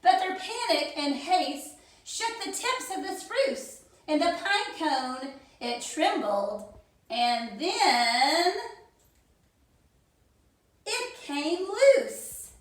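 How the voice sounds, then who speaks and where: Woman reading a rhyming kids story, super slow n dramatic, really echoey room. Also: high voice, very animated. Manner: theatrical, deliberate phrasing. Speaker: teacher reading a picture book to children. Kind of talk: expressive read-aloud of rhyming children's story.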